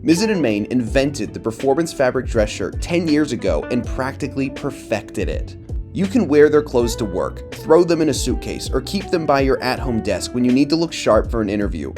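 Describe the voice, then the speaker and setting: Man, promotional read, lively melodic delivery, scripted, faint guitar music behind. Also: medium pitch, normal speed. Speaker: advertisement announcer.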